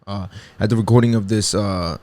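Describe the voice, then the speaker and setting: A man's voice: lowish voice, fairly even intonation, a little fast, a little mellow. A man in his twenties hosting a podcast, talking casually and reflectively with lots of fillers and swearing. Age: 20s. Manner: rambling, reflective, swears freely, many fillers. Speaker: podcast host. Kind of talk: casual solo podcast talk.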